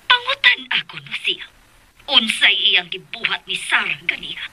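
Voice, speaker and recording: A woman playing a stern, angry mother interrogating someone over the telephone in a radio drama, with a husky voice. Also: mid-range voice, moderate pace, over music. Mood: stern, demanding. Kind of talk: Philippine radio drama acting.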